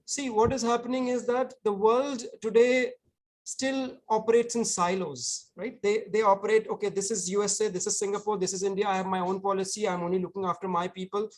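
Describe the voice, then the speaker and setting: A man's voice: high voice, steady tone, brisk. A man, an author, answering audience questions at an online book talk, earnest and explanatory, often repeating words as he thinks.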